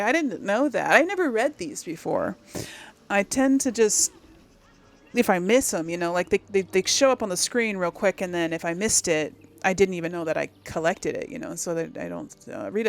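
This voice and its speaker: A woman's voice: medium pitch, lively intonation, normal speed, vocal fry. A woman hosting a game series, chatting casually as she thinks aloud and reads aloud to her viewers. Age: 30s or older. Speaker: game streamer, role-play series host.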